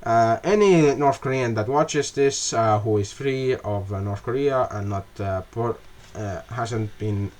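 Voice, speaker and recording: A young man talks through a map video at an unhurried pace, pausing often on fillers and restarting words. His voice sits at an ordinary mid pitch, easygoing and unscripted. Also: darkish tone. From half Russian. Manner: halting, many fillers and restarts. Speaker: mapping video creator. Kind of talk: casual map narration.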